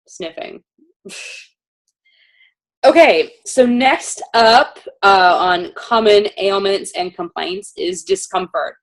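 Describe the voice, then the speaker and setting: A woman casually presenting products to a panel, informal and chatty with many fillers. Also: medium pitch.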